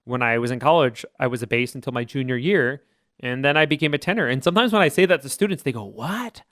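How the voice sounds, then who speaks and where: A man's voice: medium pitch, quick pace, a bit bright, no echo, studio quality. Man explaining choir voices thoughtfully. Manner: thoughtful, explanatory, hedging with "I would say". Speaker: podcast co-host, choir teacher. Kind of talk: casual podcast chat.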